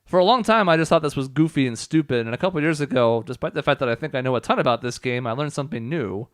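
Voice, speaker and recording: A man's voice: medium pitch, fast talker, no echo, studio quality. A man giving casual, explanatory gameplay commentary as the host of a let's play.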